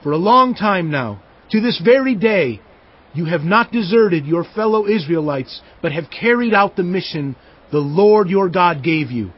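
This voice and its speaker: An actor playing an elderly Israelite leader, solemn and emphatic, declaiming to his people in a dramatized scripture reading with a husky voice. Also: high voice, lively intonation, measured pace, lo-fi sound. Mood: solemn exhortation.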